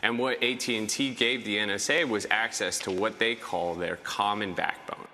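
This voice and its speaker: A man answering a television interview in a clear, explanatory way, played back through hall speakers. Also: lowish voice, fairly even intonation, normal speed, sharp tone. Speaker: interviewed journalist. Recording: TV clip replayed in a hall.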